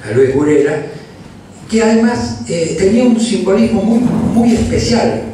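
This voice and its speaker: A man's voice: sits high, lively intonation, unhurried, dark tone, far from the mic, slight background noise. A man with an Argentine accent telling an anecdote on a panel in a university hall. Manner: storytelling, anecdotal. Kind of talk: panel discussion. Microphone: handheld mic, far camera. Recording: roomy hall from afar.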